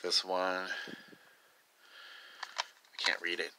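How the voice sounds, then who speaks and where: A man of twenty chatting casually and haltingly in an unscripted show-and-tell, filmed at home on a handheld phone. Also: sits low, completely flat delivery. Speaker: video maker showing a collection. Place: small cluttered room at home. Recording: noisy phone recording with clicks.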